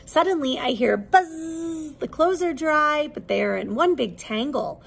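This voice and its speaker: A woman preschool teacher reading a children's book aloud expressively, with simple, deliberate questions for young listeners. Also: sits high, expressive, creaky.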